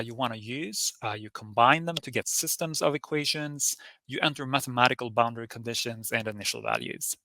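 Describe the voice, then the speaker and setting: A man from Sweden with a Swedish accent, presenting a technical software webinar in a friendly, explanatory way. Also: medium pitch, no room sound, low-quality recording. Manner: friendly, explanatory, lightly informal.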